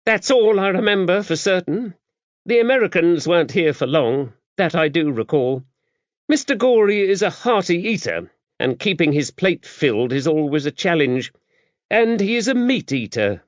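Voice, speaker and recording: A man voicing a gruff, stern, worldly-wise older nun with a brusque manner, in an audiobook. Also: on the high side, very animated, moderate pace, dry recording, muffled recording, voice pushed up. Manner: stern, brusque, matter-of-fact. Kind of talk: audiobook character dialogue.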